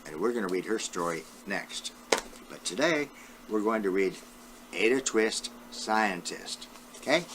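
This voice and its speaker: A man's voice: fairly low pitch, lively intonation, unhurried, noisy background. An elderly man reading a children's storybook aloud, talking directly to his young listeners as a great-grandfather would. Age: 70s or older.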